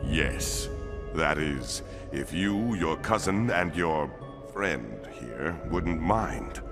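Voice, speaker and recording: Super deep four-armed alien cop, polite n formal, game cutscene w music behind. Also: male voice, animated, exaggerated voice. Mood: earnest, businesslike. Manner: formal, measured, deliberate wording. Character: courteous, solemn four-armed male alien lawman, big and older. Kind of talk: animated game cutscene acting.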